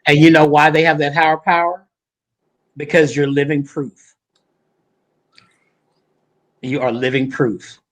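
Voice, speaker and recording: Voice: medium pitch, flat delivery, a little slow, pauses often, poor audio. A talk show host in their fifties chatting casually with a guest, sassy and folksy, repeating points for emphasis. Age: 50s.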